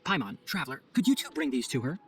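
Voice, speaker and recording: A man's voice: fairly high pitch, sing-song, quick pace, over loud music. An actor playing an earnest, scholarly young male forest ranger in a video game cutscene, speaking formally and explaining things with composure. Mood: composed, urgent.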